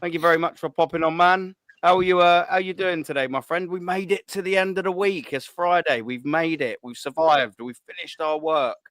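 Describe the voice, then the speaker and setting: A man's voice: on the high side, steady tone, fairly quick, hardly any pauses, fairly bright tone, no room sound. A man hosting a football call-in show, chatting casually with lots of slang, swearing and fillers. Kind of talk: casual live-stream chat.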